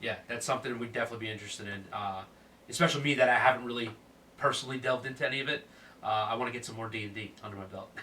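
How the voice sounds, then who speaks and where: A man's voice: medium pitch, racing, pushed and bright, recorded from a distance, slight background noise. A man hosting a casual chat, sounding stuffed up from a cold, with a laid-back manner. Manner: laid-back, lots of fillers and laughs. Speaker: podcast host.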